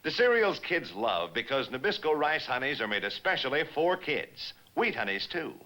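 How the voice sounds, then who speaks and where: A man voicing an excited boy puppet in a gushing vintage ad read. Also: mid-range voice, moderate pace, poor audio. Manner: gushing, salesy. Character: excited boy puppet pitching a cereal prize. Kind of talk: vintage radio-style ad read.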